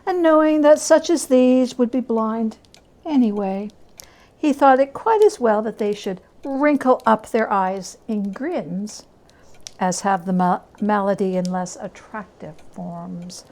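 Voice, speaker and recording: A woman reading a story aloud as a dramatic narration, expressive and deliberate. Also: on the high side. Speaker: story reader.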